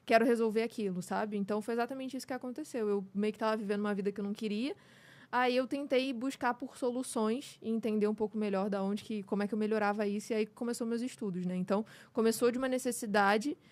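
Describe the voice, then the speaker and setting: A woman's voice: a little high, fairly even intonation, fast, darkish tone. A woman in her twenties from Rio de Janeiro with a carioca accent, speaking candidly and reflectively as a podcast guest. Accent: Carioca Brazilian Portuguese. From Brazil, Rio de Janeiro state. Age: 20s. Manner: candid and reflective, many "né" and "sabe" tags. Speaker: podcast guest, communication coach. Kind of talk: casual interview chat.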